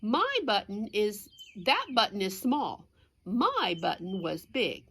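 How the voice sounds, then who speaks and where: A woman's voice: medium pitch, wildly swooping pitch, slow, a bit bright, slightly rough, full character voice. A woman voicing a grumpy, fussing male toad from a children's storybook, complaining emphatically. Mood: exasperated.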